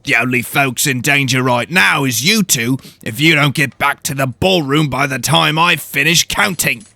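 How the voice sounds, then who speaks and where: A man voicing a giant, threatening female house steward with a gruff growl in a fantasy audio drama. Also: mid-range voice, moderate pace, sharp tone, dry recording, voice pushed up.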